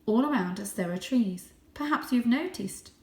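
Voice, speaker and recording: A woman voicing a wise old male cat teaching in a storybook, abrupt and measured. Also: a little high, animated, mellow. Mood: stern, instructive. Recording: roomy, echoing indoor sound.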